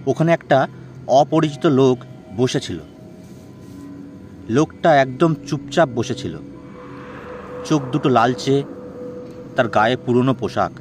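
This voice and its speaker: A man's voice: mid-range voice, unhurried, pauses often. A man telling a horror story as a dramatic, scripted narration. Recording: music bed behind the voice.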